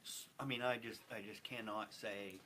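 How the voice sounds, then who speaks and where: A man's voice: fairly low pitch, fairly even intonation, moderate pace, bright, forceful tone, slight background noise. A man talking effusively and emphatically as a theater guest in a casual interview. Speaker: theater company member, guest.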